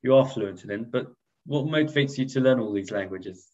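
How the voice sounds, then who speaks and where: A male rabbi interviews his guest with measured, slightly halting questions. His voice comes through with a dull, lo-fi tone. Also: medium pitch, darkish tone. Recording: dull lo-fi sound.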